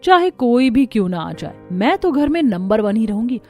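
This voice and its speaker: Chatty, opinionated cartoon family woman female voice actor, higher register, light music behind. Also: very animated, moderate pace, dark tone. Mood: smug. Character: women of an Indian household, chatty and opinionated. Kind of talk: Hindi cartoon family-story dubbing.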